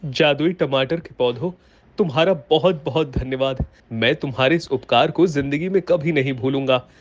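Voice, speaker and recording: A man's voice: mid-range voice, normal speed, no echo, dull audio, lightly acted. A man playing a humble, good-hearted village husband in a children's cartoon story, speaking earnestly. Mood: grateful, earnest.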